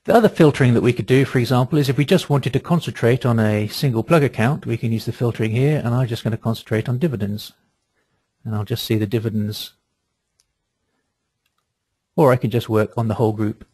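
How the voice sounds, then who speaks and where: A man presenting a software walkthrough in a webinar, explaining each step in a methodical, measured way. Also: mid-range voice, breathy edge, no echo.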